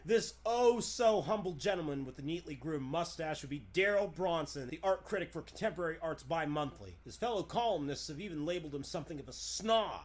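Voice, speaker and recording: A man's voice: on the high side, lively intonation, fairly quick, hardly any pauses, natural voice. A man playing a genteel young society fellow, chatty, polite and wordy in 1940s radio style. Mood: jovial.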